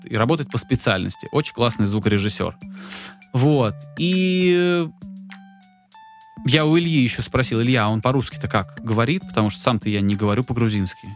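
Male podcast co-host, mid-pitched, loose rambling anecdotes with fillers. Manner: rambling storytelling with many fillers. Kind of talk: casual chat. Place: Tbilisi.

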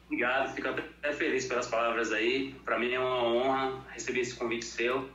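A man's voice: medium pitch, level tone, a little fast, pushed and bright. A Brazilian man from Bahia chatting casually as an interview guest, plain-spoken and colloquial. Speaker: interview guest, fitness professional. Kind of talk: casual chat. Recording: echoey room, dull lo-fi sound.

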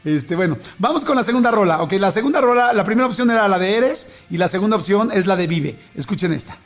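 A man with a Mexican Spanish accent hosting a casual morning radio show. He reads listener messages aloud, jokes around, laughs often and rattles through the messages quickly. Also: high voice, expressive.

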